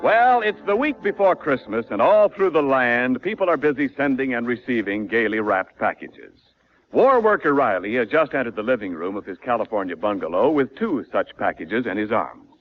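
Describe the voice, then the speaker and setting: A man's voice: medium pitch, sing-song, normal speed. A man announcing formally in a declamatory, scripted 1940s radio style, on a dull old broadcast recording. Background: music.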